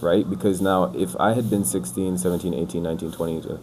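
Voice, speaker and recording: A man's voice: low-pitched, fast. A man in his twenties from the UK, a podcast guest talking casually and loosely, full of "like" and "bro". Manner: relaxed, rambling, says "like" and "bro" a lot. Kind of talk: casual chat. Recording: noisy background.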